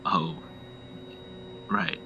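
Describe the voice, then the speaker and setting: An actor playing a friendly, curious police colleague in an audio drama, speaking casually and a little awkwardly. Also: male voice, extremely slow, highs cut off, music in the background.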